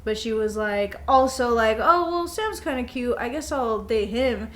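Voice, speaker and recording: A woman's voice: on the high side, animated, normal speed, a bit bright. A young woman co-hosting a podcast in loose, casual chat with a friend, blunt and informal. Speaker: podcast co-host.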